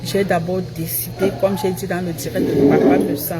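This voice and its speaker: A woman's voice: lowish voice, steady tone, moderate pace. A woman giving a self-assured, emphatic live-stream monologue, repeating phrases to drive them home.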